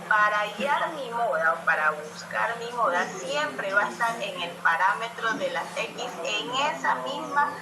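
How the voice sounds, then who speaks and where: Slow-paced woman teacher in an echoey room. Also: fairly high pitch, noisy background. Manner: explains step by step, checking understanding with frequent "ok?". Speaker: statistics teacher. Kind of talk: classroom lesson. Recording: echoey room with loud music behind.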